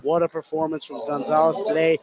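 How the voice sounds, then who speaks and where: A man giving conversational color commentary on a live basketball radio broadcast from a high school fieldhouse, often saying "you know". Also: level tone, brisk, a loud crowd. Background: thumping music.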